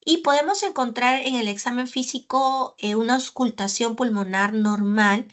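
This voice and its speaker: Woman, pulmonologist, medical lecture, somewhat high pitch, didactic, tag questions and fillers. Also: normal speed. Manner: didactic, with frequent "¿no?" tags and "eh" fillers.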